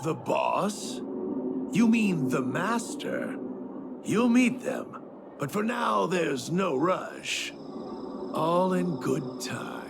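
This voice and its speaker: A man acting as a zealous cult disciple and former spearman in a video game, with a gravelly voice and deliberate, solemn, theatrical delivery. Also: sits high, full character voice. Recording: loud music under the voice.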